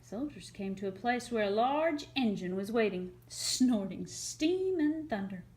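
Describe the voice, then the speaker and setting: A woman reading a children's picture book aloud as an expressive, dramatic storyteller. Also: a little high, a little slow.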